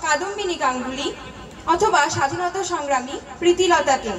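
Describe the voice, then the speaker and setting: A woman's voice: very high voice, roomy sound, in a large hall, distant mic. A woman voicing an earnest young village daughter in an amateur puppet show, pleading and declaiming.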